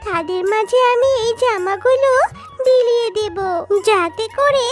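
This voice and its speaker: A woman's voice: extremely high pitch, unhurried, soft background music. A woman voicing a sweet, coaxing little village girl in a children's cartoon, in a childlike manner. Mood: excited, eager.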